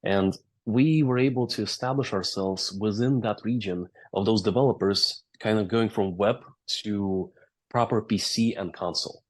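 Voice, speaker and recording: A man's voice: fairly low pitch, normal speed. A man in his thirties from Latvia with a Latvian accent, telling his story as a guest in a casual interview, fluent with frequent "uh" and "right?". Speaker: company founder, interview guest.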